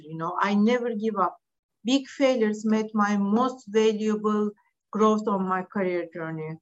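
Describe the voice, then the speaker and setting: A woman's voice: medium pitch, lo-fi sound. A middle-aged Turkish woman speaking English as a second language, answering podcast interview questions in an earnest, direct way with some restarts. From Turkey. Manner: earnest, direct, non-native phrasing with restarts. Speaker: interview guest, business leader.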